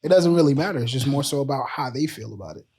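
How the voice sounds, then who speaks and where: A man on a casual podcast, calmly explaining his views with everyday examples and a lot of "like" and "you know". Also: mid-range voice, very fast, breathy edge. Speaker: podcast cohost.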